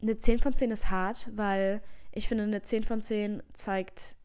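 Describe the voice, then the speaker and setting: A woman's voice: medium pitch, steady tone, slow pace, smooth. A young woman in her twenties, a vlogger with a slight cold, talking earnestly into a handheld mic at home, rambling and reassuring with many fillers. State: has a cold. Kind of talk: casual heartfelt advice monologue. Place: living room at home, seated in armchair. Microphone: handheld microphone.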